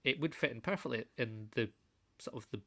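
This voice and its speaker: A man on a fan panel, blunt and halting in his opinions. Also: medium pitch, a bit bright. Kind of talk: casual panel chat.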